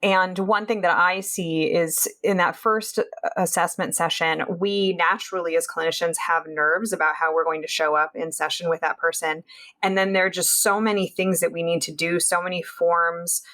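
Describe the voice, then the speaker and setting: A woman's voice: on the low side, fairly even intonation, normal speed, fairly bright tone. A woman hosting a clinical interview podcast, speaking with enthusiasm and occasional fillers. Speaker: podcast host.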